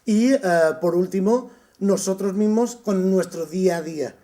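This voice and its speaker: Male university lecturer from Spain, high pitch, unhurried lecture with frequent hesitations. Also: dark tone. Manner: thoughtful, with frequent "eh" pauses and restarts. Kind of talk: summer course lecture.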